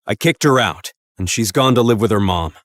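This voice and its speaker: A man voicing a kind, protective middle-aged father in an animated story, speaking earnestly and expressively. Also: lowish voice, brisk, dry recording, studio quality. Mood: dejected.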